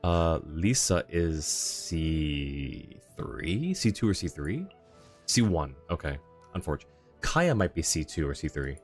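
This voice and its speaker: A man's voice: extremely low pitch, sing-song, slow pace. A man livestreaming a game, talking casually and conversationally as he reads out and answers chat comments. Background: faint game music.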